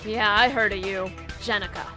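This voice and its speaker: A woman's voice: medium pitch, steady tone, normal speed, over music, natural voice. A woman voicing a level-headed, tough young heroine in a comic dub, earnest and firm. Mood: wry, tough.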